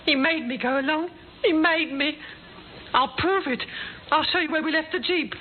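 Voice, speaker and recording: A woman's voice: high-pitched, moderate pace, natural voice. An actress playing a desperate woman accused of a crime, pleading frantically in old radio drama style. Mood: frantic pleading. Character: desperate female murder suspect pleading she was forced. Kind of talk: 1950s radio drama acting. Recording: tinny, very noisy old radio recording.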